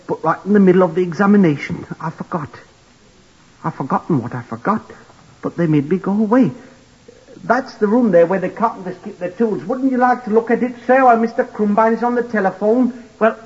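A man's voice: high-pitched, slightly rough. An eager, apologetic young lift boy. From Blackpool, Lancashire. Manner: overly polite, says sir constantly, apologetic. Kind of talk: 1940s radio acting. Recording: lo-fi vintage radio recording.

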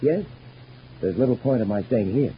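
An actor playing a patient, quietly concerned doctor in an old radio soap, reasoning in a measured, placating way. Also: male voice, mid-range voice, expressive, lightly acted. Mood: weary, reassuring. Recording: dull, noisy old radio recording.

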